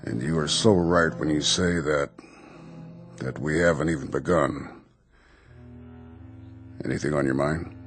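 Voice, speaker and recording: A curt, skeptical American general with a low voice. He speaks with clipped authority in a radio drama, music playing behind him. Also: steady tone, measured pace, pauses often. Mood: grave, conceding. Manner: curt, commanding.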